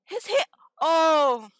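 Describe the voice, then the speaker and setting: A woman streamer giving casual, excitable commentary while playing a game. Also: very high-pitched, very slow, no echo. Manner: excitable, expressive. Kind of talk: casual reactive gameplay commentary.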